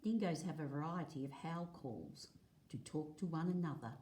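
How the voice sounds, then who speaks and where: A woman reading aloud as a teacher, explaining in a measured way, phrase by phrase. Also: low voice, lively intonation, dark tone, slight background noise. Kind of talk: read-aloud lesson of a non-fiction picture book. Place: indoors at a table, filming a book.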